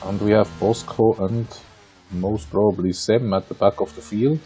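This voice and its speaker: A man's voice: on the low side, fairly even intonation, moderate pace, warm tone, a little hiss or hum, poor audio. A man from Austria with an Austrian accent and German as his native language, giving conversational, wry live racing commentary with playful asides. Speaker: sim racing league commentator.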